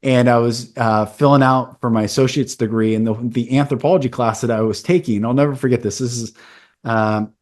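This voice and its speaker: A man's voice: medium pitch, steady tone, fairly quick, a little mellow. A man in his forties hosting a podcast, telling a personal story in an earnest, candid, casual way. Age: 40s. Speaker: podcast host. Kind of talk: casual conversation.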